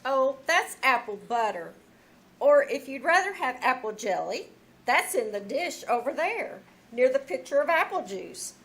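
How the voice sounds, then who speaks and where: A woman's voice: sits high, very animated, a little slow, a bit bright, full character voice. A woman playing a kindly, slightly dotty older lady, chatty and fussing, in old-time radio comedy style. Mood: cheerfully fussing. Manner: motherly, chatty. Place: small town theater stage.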